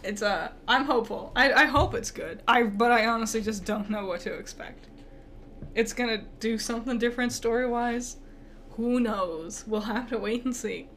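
A woman's voice: on the high side, normal speed, fairly bright tone. A young woman co-hosting a casual podcast, swearing freely and laughing often. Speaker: podcast co-host. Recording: faint background noise.

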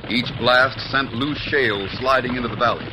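A man's voice: mid-range voice, steady tone, normal speed, noisy background. A man announcing and narrating an old radio western in a stirring, declamatory style. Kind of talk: dramatic 1940s radio announcing.

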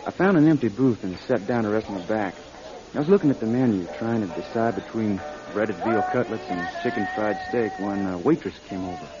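An actor playing a weary, lonesome drifting musician in a radio drama, with folksy, colloquial speech. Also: male voice, lowish voice, fairly quick, lightly acted. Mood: weary, reflective. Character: weary, lonesome drifting musician telling his own story. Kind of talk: radio drama acting and first-person narration. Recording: dull radio recording with loud music.

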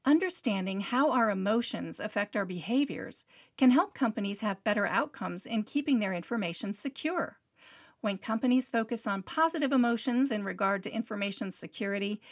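A woman's voice: mid-range voice, lively intonation, normal speed, creaky. A woman presenting a scripted radio business segment with a polished delivery.

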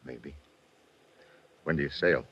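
A man's voice: a bass voice, slow, slightly rough, exaggerated voice. A man acting a wry, hard-boiled American adventurer in an old radio drama. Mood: easygoing, flirtatious. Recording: muffled, noisy vintage radio recording.

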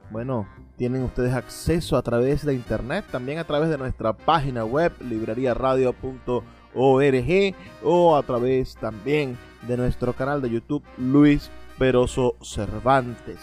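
Venezuelan guy doing radio host talk, medium pitch, bit of music behind him. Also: darkish tone. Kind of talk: radio show introduction and sponsor reads.

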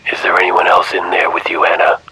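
A man voicing a calm male interviewer who asks questions quietly. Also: low-pitched, a little fast, slight background noise. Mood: probing.

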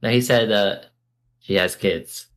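A man's voice: lowish voice, a little slow. A man co-hosting a podcast in casual, irreverent, joking chat, often chiming in. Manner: irreverent, interjecting. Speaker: podcast co-host and quiz contestant.

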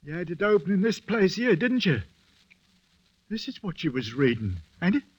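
An actor playing an anxious, uneducated, sickly male convict, haunted and volatile, with a husky voice and rough, pleading speech in old-time radio drama style. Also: high voice, very animated, normal speed, muffled recording, full character voice. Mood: suspicious, anxious.

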